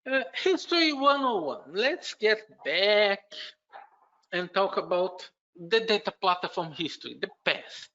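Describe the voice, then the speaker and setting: A man from Brazil, a native Portuguese speaker with a Brazilian accent, giving a technical conference presentation remotely from home, with halting explanations full of "uh" fillers and restarts. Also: high-pitched, expressive, pushed and bright, lo-fi sound.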